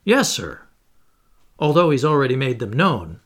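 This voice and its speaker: A man's voice: medium pitch, very animated, moderate pace, fairly bright tone. A man voicing an impatient Canadian harpooner, furious at being held captive. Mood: insistent.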